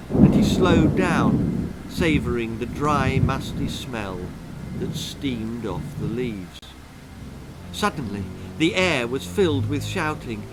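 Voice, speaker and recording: A man's voice: fairly high pitch, noisy background. A British man narrating a children's storybook in a dramatic, theatrical and drily comic manner. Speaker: author and storyteller. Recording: music behind the voice.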